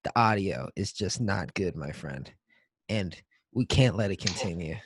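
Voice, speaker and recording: Low-voiced man, casual podcast co-host. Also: animated, measured pace, breathy edge, dry recording. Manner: profane, slangy. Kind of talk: casual comedic podcast chat.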